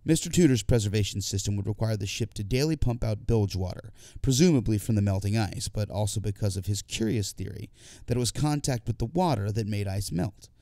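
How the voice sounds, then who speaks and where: A man's voice: on the low side, brisk, gravelly, warm tone, no room sound, studio quality. A man reading a scripted first-person literary story as an audiobook narrator.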